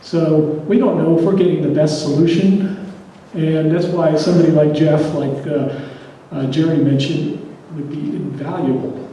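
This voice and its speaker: A man giving a halting public comment at a community meeting, stumbling through fillers and restarts. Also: on the high side, fairly even intonation, warm tone. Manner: hesitant, many fillers and restarts. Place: large hall at a public meeting. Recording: echoey hall from far off, faint noise.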